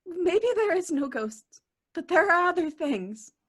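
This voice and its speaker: Radio-play role: scared, hysterical young woman, bright and sharp, extremely high, pleading to get away. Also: expressive, a little slow, exaggerated voice. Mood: fearful complaining. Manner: pleading, panicky, stammering. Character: frightened, hysterical young woman on a criminal caper. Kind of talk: old-time radio drama acting.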